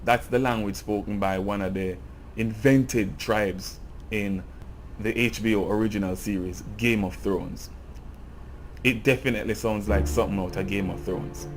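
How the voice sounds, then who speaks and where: A man's voice: on the low side, fairly even intonation, moderate pace, soft background music. A Jamaican man giving an earnest, impassioned cultural commentary in a video essay. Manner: earnest, grows impassioned and emphatic.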